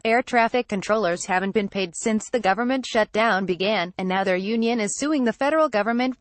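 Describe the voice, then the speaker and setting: A woman's voice: mid-range voice, dry recording. A woman reading scripted news narration in a formal, word-for-word manner.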